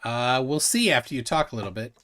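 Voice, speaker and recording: A man's voice: medium pitch, very animated, fast talker, a bit bright. A man hosting a casual livestream, reading out viewer comments and laughing often. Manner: chatty, reads chat comments aloud, laughs often. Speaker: livestream host.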